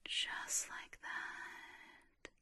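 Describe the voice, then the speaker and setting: A woman whispering an ASMR hypnosis session close to the mic, coaxing and soothing, repeating phrases slowly. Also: pushed and bright.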